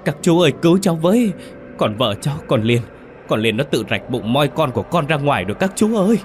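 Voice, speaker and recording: A man's voice: a little high, very animated, fast talker, soft background music, voice pushed up. A man playing a distraught young village husband, wailing and pleading for help in a horror story. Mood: panicked wailing.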